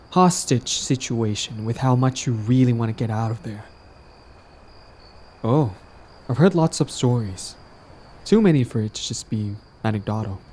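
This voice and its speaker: Man acting as a mellow, lovestruck, coaxing villain. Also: mid-range voice, close to an everyday voice. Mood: smug, persuasive. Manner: casual, teasing, coaxing. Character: lovestruck, persistent young male villain, smug yet earnest. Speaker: voice actor in romantic audio roleplay. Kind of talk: intimate scripted roleplay addressed to the listener.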